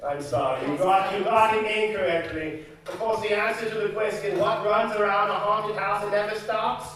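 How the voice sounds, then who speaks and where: A man playing a creepy, gleefully macabre game show host in a theatrical, drawn-out, cackling manner for a live old-time radio comedy. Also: sits high, fairly even intonation, a bit bright, slight background noise. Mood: teasing, spooky. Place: community center stage before live audience. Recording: echoey live hall, distant mic, audience.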